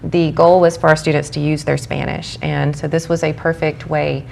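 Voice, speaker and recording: A woman's voice: low voice, little pitch movement, moderate pace, vocal fry, darkish tone. A woman in her forties, a program director from Mexico, answering interview questions earnestly and enthusiastically. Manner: earnest, enthusiastic, with filler ums. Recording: faint background noise.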